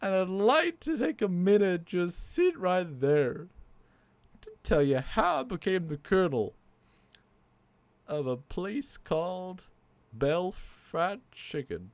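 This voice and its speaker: A man's voice: sits high, sing-song, slow pace, dry recording, voice pushed up, full character voice. A man doing a blustering puppet colonel drawl. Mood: wistful. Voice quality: put-on Southern colonel drawl. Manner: theatrical, insulting, self-pitying bluster. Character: grumpy male puppet, ex-Shakespearean actor turned chicken colonel. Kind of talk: comic puppet bit on a livestream.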